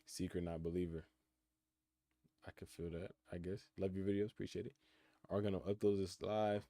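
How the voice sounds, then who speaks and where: 19 yr old guy on a computer mic, really deep voice, super dry sound, chill and thoughtful answering chat. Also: level tone, a little fast, dark tone, no echo. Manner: laid-back, reflective, reads chat questions aloud and answers. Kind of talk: casual live-stream chat. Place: his room in college housing.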